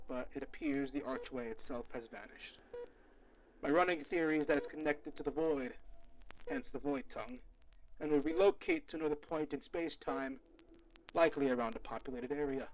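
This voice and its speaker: A man playing a weary, foul-mouthed field researcher dictating case logs in an audio drama, with a gravelly, theatrical, halting delivery. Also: on the high side, natural voice, low-quality recording. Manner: theatrical, halting, muttering to himself.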